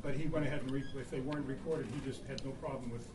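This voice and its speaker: A man's voice: mid-range voice, steady tone, racing, crowd noise. A man casually reminiscing in a rambling, self-correcting way, speaking from across a restaurant room. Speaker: club member, former film editor. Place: restaurant luncheon meeting. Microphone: far from the microphone. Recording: roomy, distant mic, crowded restaurant.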